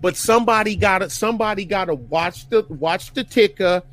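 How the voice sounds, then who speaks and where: Fifties guy, high voice, rambly, keeps restarting sentences. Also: normal speed, fairly bright tone. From Detroit, USA. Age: 50s. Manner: rambling, searching for words, many restarts. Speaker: podcast co-host. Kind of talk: casual group banter.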